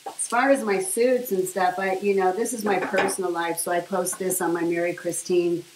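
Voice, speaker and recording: A middle-aged woman with a fairly low voice rambles casually to a camera set on her kitchen counter, full of ums and asides. The kitchen is echoey, with a faint hiss and food sizzling in a pan. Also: fairly even intonation, moderate pace. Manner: rambling and chatty, many fillers. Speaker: vlogger sharing her diet. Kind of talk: casual chat while cooking. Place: home kitchen, indoors. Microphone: camera on the counter at a short distance.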